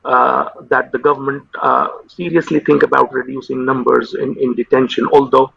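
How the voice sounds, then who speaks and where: A man in his thirties, a psychiatrist whose first language is Urdu, speaking haltingly with many fillers and repeated words in an interview over a video call. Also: mid-range voice, level tone, gravelly, slightly rough. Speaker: consultant psychiatrist guest. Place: home room with window. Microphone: video call webcam. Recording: dull lo-fi video call audio.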